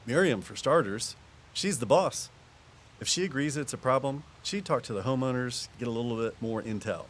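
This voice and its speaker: A man's voice: mid-range voice, lively intonation, moderate pace, lightly acted. A man playing a patient, nature-loving conservation officer who explains things reflectively in audio drama dialogue. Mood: measured, practical.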